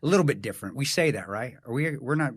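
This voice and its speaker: A man's voice: medium pitch, expressive, fast, a little hiss or hum. A man with a nasal voice chatting casually as a podcast host, rambling and poking fun at himself. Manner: rambling, self-deprecating, swears casually.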